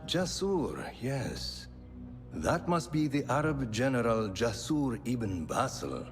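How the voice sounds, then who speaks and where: An actor playing a shrewd, stern mentor of a secret order in a video game, grave and wry, with long reverb. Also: male voice, medium pitch, very animated, slow, faint music behind. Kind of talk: video game cutscene acting.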